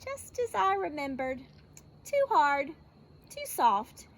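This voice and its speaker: Storybook older woman, acted by a female reader: finicky, self-congratulatory, gushing, very animated pitch. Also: slow pace, full character voice. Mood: brisk, fussy.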